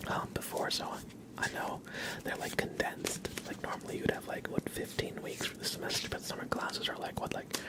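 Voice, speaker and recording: A young man hosting a relaxed ASMR livestream, mostly whispering and speaking softly in a reassuring, encouraging way. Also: low-quality recording. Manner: soft-spoken, mostly whispering. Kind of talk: relaxed ASMR, chatting with viewers and reading tarot cards.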